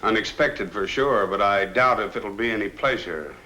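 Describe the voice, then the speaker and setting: An actor playing a wry, unflappable portly private detective in a 1950s film noir, speaking in a dry, measured, bantering way. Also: male voice, on the low side, sharp tone. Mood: coolly challenging. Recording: noisy old film soundtrack.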